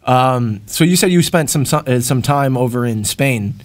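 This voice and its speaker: A man's voice: mid-range voice, expressive, normal speed. A young man from New York interviewing a guest in casual chat, hesitant with frequent restarts. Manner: hesitant, many fillers and restarts, laughs easily.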